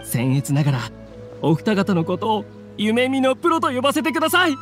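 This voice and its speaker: Polite, eager young event organizer, animated. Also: male voice, high-pitched, brisk, soft background music, exaggerated voice. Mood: eager, courteous. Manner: formal, courteous, earnest. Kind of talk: Japanese anime game acting.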